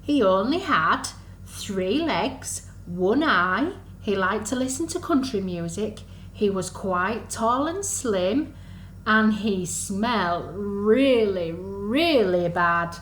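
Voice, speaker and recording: A woman reading a story to young children in an animated, sing-song, exaggerated way, as a storyteller for under-fives. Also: mid-range voice, takes it slowly. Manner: sing-song, exaggerated, asks the listeners questions. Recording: echoey room, faint background noise.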